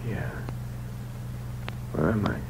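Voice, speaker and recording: An actor playing a bitter, weary young ex-convict in a 1940s radio crime drama, with a wry, slangy, hard-boiled manner. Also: male voice, slow pace, a little mellow, natural voice. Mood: weak, wry. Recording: dull, noisy old radio recording.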